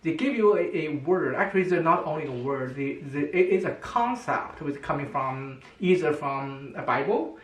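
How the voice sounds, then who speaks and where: Middle-aged guy, chinese first language, kinda high voice, lots of restarts, echoey room far off mic. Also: lively intonation, a little hiss or hum. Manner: halting, many restarts and fillers, laughs often. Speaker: co-host, father. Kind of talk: casual chat.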